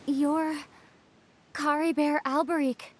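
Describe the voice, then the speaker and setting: Slow, high-voiced solemn traveler in reverb. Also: female voice, steady tone. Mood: grave, solemn. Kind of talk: video game voice acting. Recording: long hall reverb, faint noise.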